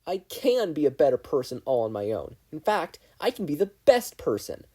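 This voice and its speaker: A proud teenage boy with a short fuse, acted by an audiobook-style reader. He is gruff and defiant, with a husky edge and wide swings in pitch. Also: big pitch swings, normal speed, warm tone. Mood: irritated. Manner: gruff, irritable.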